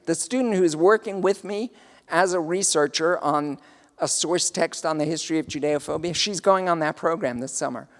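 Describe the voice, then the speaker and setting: A man's voice: a little high, moderate pace, a bit bright, long reverb tail. A man with a South African accent, giving a lecture and answering audience questions, speaking thoughtfully with many fillers and restarts.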